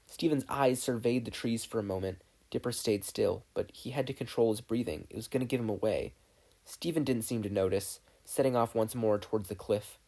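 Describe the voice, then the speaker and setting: Husky-voiced narrator reading a story expressively. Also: medium pitch, brisk, mellow. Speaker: podfic narrator.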